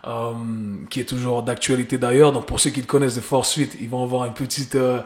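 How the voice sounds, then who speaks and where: A man's voice: mid-range voice, level tone, brisk, a little mellow. A man, hosting a casual podcast and chatting loosely with English slang mixed in. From Geneva, Switzerland. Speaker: podcast host. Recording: roomy echo.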